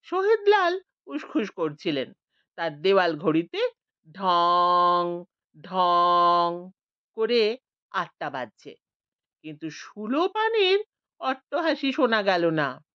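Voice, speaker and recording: A woman's voice: lowish voice, big pitch swings, slow pace, pauses often, clean tone, dry recording, dull audio. A woman narrating a scripted audiobook story, voicing the village characters expressively.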